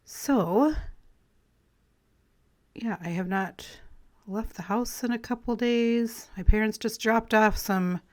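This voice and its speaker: Woman vlogger, slightly raspy and throat-clearing, relaxed rambling crafting chat. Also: on the high side, slow pace, long pauses. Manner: relaxed, rambling, friendly, with light laughs. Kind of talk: casual chatty voice-over while collaging.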